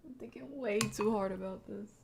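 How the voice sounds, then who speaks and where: A woman doing casual live commentary on a horror game, talking to chat in slang and thinking out loud as she reacts. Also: mid-range voice, fairly even intonation, brisk, creaky, background noise. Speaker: game streamer.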